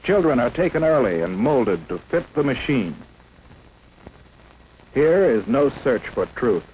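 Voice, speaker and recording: An American man delivers solemn documentary narration in the style of a mid-century propaganda film. His voice sits at a middling pitch, with emphatic, declamatory phrasing. Light noise from an old film soundtrack runs through the recording. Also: very animated, measured pace, a little mellow, rough recording.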